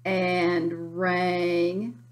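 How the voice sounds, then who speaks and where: A woman reading a picture book aloud as a preschool teacher, expressive and deliberate for young listeners. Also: lowish voice. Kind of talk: slow, expressive children's story reading.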